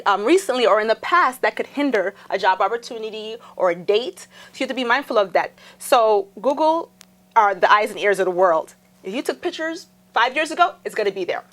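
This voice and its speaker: A woman with a somewhat high voice talks through her advice earnestly, now and then pausing on a filler. She sounds like a guest on a casual talk show. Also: a bit bright. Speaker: guest on social media.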